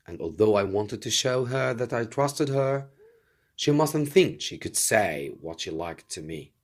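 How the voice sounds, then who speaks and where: Lively, low-pitched man narrating a story. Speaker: English teacher reading a novel aloud.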